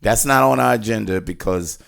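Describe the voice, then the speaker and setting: Deep, gravelly man reviewing casually. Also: fairly quick, fairly bright tone. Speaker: podcast host giving a film review. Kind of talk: casual conversational movie review.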